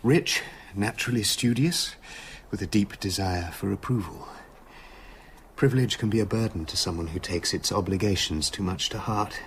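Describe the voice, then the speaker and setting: An actor playing a tormented, self-justifying doctor in a radio drama, speaking formally and intensely. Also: male voice, fairly low pitch, normal speed, a little hiss or hum, natural voice. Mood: bitter confession.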